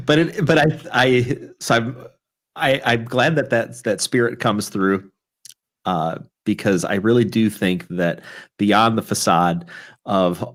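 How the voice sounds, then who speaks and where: A man's voice: fairly low pitch, takes it slowly. A man hosting a casual live-streamed talk show, chatty and rambling with frequent restarts. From Chicago area.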